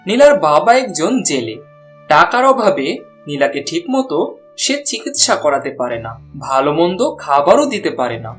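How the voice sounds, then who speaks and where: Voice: medium pitch, big pitch swings, normal speed, a bit bright, faint music behind. A narrator reading a scripted cartoon story.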